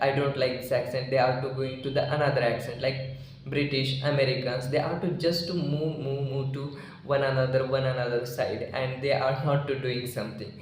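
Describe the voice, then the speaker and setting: A young man in his twenties from India, a native Marathi speaker with an Indian accent, giving earnest advice to the camera with loose grammar and many "you know" fillers. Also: medium pitch. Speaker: English-learning YouTuber. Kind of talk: talking-to-camera advice vlog. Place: small indoor room, plain wall. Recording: very echoey room, faint hiss.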